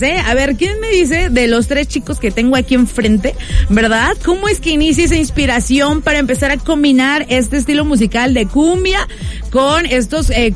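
A woman with a Mexican accent hosting a lively radio interview in a studio booth, upbeat and quick, often laughing. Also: high voice. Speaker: radio host. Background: faint music.

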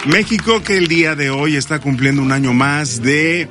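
A man's voice: a little high, normal speed. A man hosting live morning radio, greeting effusively and teasing playfully in a studio. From Mexico. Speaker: radio host. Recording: music playing behind the voice. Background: music.

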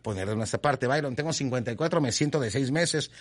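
Joking Mexican radio host, dry close mic. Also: male voice, mid-range voice, quick pace, dry recording. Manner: joking, teasing, lively banter. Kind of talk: reading listener messages on air. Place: radio studio.

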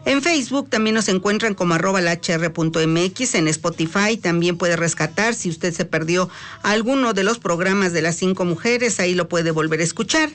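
A woman with a Mexican accent hosting a live talk radio show. She chats freely, stretches some words and fills pauses with "eh". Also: on the low side. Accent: Mexican Spanish. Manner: chatty, drawn-out words, frequent "eh" fillers. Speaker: radio host.

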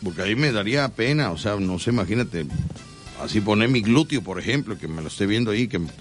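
Teasing Mexican radio host. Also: male voice, lowish voice, expressive, quick pace, soft background music. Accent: Mexican Spanish. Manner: teasing and jokey, often laughing mid-sentence. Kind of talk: joking live radio banter. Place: radio studio.

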